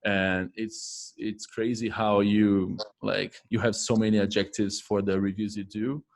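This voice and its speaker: A man with a Portuguese accent, a non-native English speaker, asking interview questions haltingly with many fillers. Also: on the low side, flat delivery, vocal fry.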